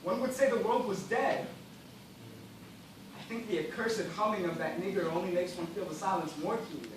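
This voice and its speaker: A man on stage playing an anguished, bitter gentleman survivor of a shipwreck, declaiming in melodramatic style. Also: high voice, moderate pace, lightly acted. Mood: despairing. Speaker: stage actor. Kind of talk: stage play dramatic monologue. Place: theatre stage, recorded from afar. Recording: very echoey stage, distant mic, loud noise.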